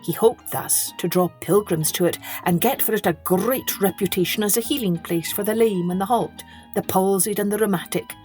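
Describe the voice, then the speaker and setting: A woman's voice: mid-range voice, moderate pace, darkish tone, soft background music. A woman reading a children's story as a podcast storyteller, expressively, with dramatic emphasis on key words.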